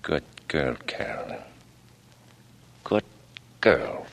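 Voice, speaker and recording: A man's voice: rumbling low, expressive, drawn-out words, a little hiss or hum, full character voice. An American man acting a menacing, short-tempered killer in a 1940s radio crime drama, bullying in manner, on an old recording. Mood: cold menace.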